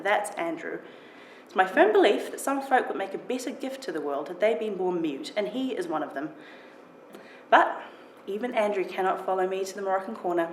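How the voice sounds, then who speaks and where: A woman giving an expressive reading of her own first-person short story. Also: mid-range voice. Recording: roomy echo with clear background noise.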